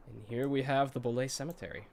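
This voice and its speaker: A man's voice: mid-range voice, steady tone, fast talker, vocal fry, a little mellow, a little hiss or hum. A congested man with a cold giving chatty, nostalgic commentary while streaming a video game, laughing often.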